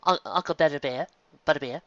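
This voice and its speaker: A man's voice: a little high, fairly quick, no echo, dull audio, natural voice. A man voicing a flustered, eager young female pony barmaid in a storybook.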